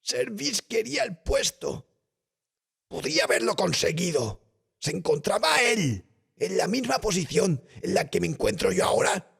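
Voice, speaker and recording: A man voicing an anguished, frightened young male student with a hoarse, faltering voice in an audiobook horror story. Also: sits high, big pitch swings, moderate pace, fairly bright tone, pitched above the performer's own voice, full character voice. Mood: anguished, desperate. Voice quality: hoarse, husky. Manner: desperate, faltering.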